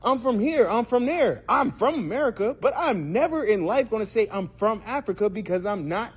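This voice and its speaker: A man's voice: sits high, expressive, normal speed, breathy edge. A man giving blunt, casual reaction commentary and calling people "bro" and "dog".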